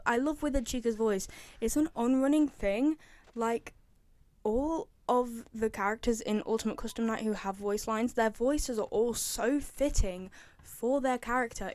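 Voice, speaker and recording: A woman's voice: fairly high pitch, a little mellow, no room sound. A young woman giving casual, opinionated fan commentary on a game, chatty and repeating phrases for emphasis. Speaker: video creator, game fan.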